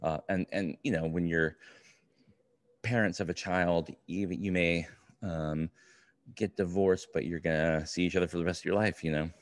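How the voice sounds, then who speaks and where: A middle-aged man co-hosting a podcast, chatting casually in a halting, rambling way. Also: low voice, steady tone, no echo, studio quality. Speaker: podcast co-host. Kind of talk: casual book review chat.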